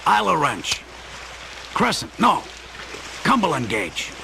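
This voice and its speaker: An actor playing a bossy, streetwise older-brother plumber with a gravelly voice in a radio play. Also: male voice, fairly high pitch, huge pitch swings, slow, background noise, exaggerated voice. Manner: brash, wisecracking, streetwise.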